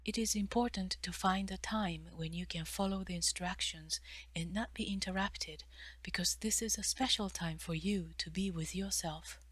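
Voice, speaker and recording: Soft, breathy woman guiding meditation. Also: on the low side, no echo. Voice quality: soft, breathy, often near-whispered phrase endings. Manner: gentle, measured instruction with pauses. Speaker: meditation guide, therapist. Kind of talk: guided meditation for mindful stretching.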